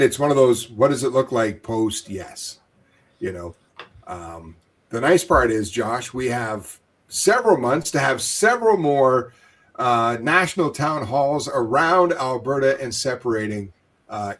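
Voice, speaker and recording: A man from western Canada co-hosting a casual live town hall, reading viewer comments and hedging his points with "you know.". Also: medium pitch. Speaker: livestream co-host.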